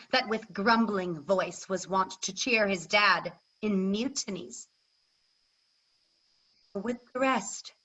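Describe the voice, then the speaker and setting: Woman acting slow, taunting, vengeful warrior queen. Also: medium pitch, fairly bright tone. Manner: taunting, declamatory, deliberate. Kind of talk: staged reading of Shakespearean verse. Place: online video call. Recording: muffled video-call audio.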